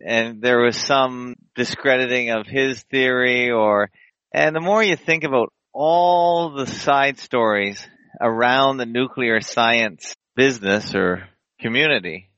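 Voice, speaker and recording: Man, radio show host, casual talk, rambling, opinionated, bright forceful voice, dry slightly dull sound. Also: medium pitch, takes it slowly, dry recording. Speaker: podcast host. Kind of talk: casual online radio chat.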